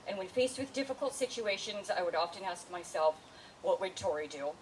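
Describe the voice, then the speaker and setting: A woman reading a prepared, heartfelt tribute at a memorial in an earnest, steady way. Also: medium pitch. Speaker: memorial speaker. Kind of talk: prepared tribute read aloud. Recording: distant mic with faint hiss.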